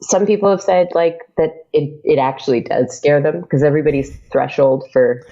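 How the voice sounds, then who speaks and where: A woman's voice: low voice, moderate pace, mellow. A woman podcaster chatting casually as an interview guest, rambling and laughing easily. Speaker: audio drama podcaster, guest. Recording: dull lo-fi audio.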